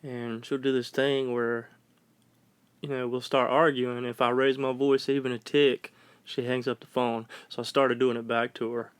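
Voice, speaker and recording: A man's voice: mid-range voice, little pitch movement, moderate pace, smooth, no room sound. A young man, co-hosting a casual podcast in a plain-spoken, easygoing way. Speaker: podcast co-host. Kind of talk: casual chat.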